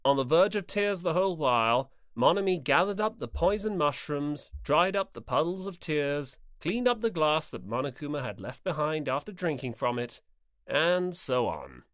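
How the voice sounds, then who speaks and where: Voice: medium pitch, expressive, moderate pace, fairly bright tone, no echo, clean studio sound. An audiobook narrator reading scripted story narration in the third person.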